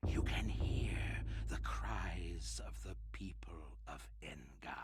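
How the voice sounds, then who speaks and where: An actor playing a grave, solemn storyteller in a gothic horror game, with a breathy, whispery delivery. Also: male voice, low-pitched. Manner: slow, solemn, ominous delivery. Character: grave male storyteller mourning a lost ancient city. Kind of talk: dramatic gothic game narration. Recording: loud noise over the voice.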